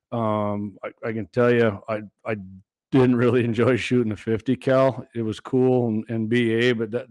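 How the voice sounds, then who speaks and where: Man, hoarse tonight, lowish voice, halting casual talk, dry sound. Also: darkish tone, dry recording. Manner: halting, stumbles and repeats words. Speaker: podcast host. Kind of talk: casual podcast chat.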